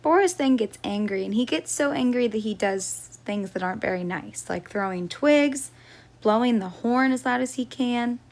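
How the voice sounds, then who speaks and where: A woman's voice: a little high, animated, normal speed. A woman storyteller reading a picture book aloud for children, as a teacher would.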